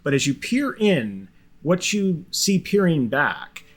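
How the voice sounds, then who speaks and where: A man narrating a tabletop game as game master, halting and filled with restarts. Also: on the high side, very animated.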